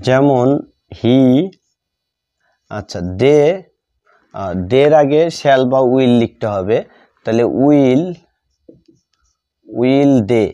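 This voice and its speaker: A Bengali-accented man gives a grammar lesson in a mellow, relaxed voice. He goes very slowly, dictating piece by piece and often repeating himself as he writes. His pitch sits in the middle range for a man. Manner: halting, repetitive, word-by-word delivery. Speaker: English grammar teacher.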